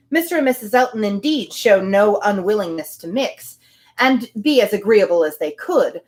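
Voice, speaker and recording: A woman hosting a live reading of a novel, reading aloud with chatty, wry asides. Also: medium pitch. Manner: chatty, wry commentary between passages.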